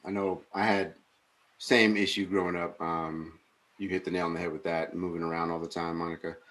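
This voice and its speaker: Forties guy with a super deep voice, rambly and thoughtful, lots of ums. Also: level tone, brisk, fairly bright tone. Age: 40s. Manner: rambling, reflective, many fillers. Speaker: podcast co-host. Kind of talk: casual conversation.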